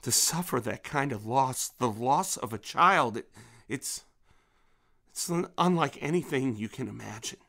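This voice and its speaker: A man acting in an audio drama as a menacing, grieving middle-aged father protecting his daughter, speaking with halting intensity. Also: medium pitch, expressive, creaky, sharp tone, exaggerated voice. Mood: grief-stricken. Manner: intense, halting, with repeated words.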